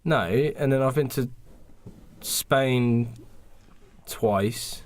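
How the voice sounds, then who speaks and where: A man's voice: medium pitch, extremely slow. A British man co-hosting a podcast in casual banter, argumentative and insistent. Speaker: podcast co-host.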